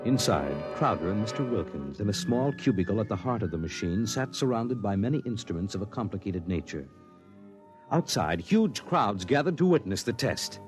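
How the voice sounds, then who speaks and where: A man narrating a 1950s radio drama in an expressive, storytelling way. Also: lowish voice, normal speed, over music.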